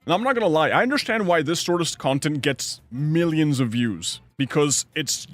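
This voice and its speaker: A man's voice: on the high side, expressive, normal speed, a bit bright, soft background music. Rambling young man chatting on stream. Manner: rambling, chatty, reads chat aloud and answers. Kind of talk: casual live-stream chat.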